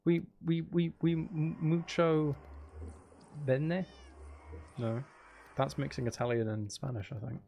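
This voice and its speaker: A man's voice: fairly high pitch, steady tone, slow, gravelly, long pauses, mellow, slight background noise. A man hosting a casual gaming livestream, chatting in a playful, teasing, rambling way and sounding a little tired. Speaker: livestream host.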